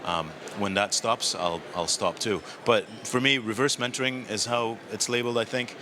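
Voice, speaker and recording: A man with a low voice answers interview questions at a conference. He is relaxed and thinks aloud. Steady noise from the busy floor runs behind him. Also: moderate pace. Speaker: industry guest. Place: conference studio.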